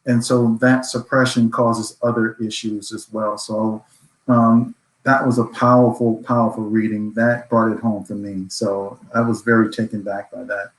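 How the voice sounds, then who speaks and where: Even-toned man in an echoey room. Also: on the low side, moderate pace. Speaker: panelist. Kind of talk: reflective panel commentary.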